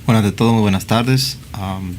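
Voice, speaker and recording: Man, 30s, very low voice, measured with fillers, faint hiss. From Peru. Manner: measured, many fillers, tag questions. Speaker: police officer.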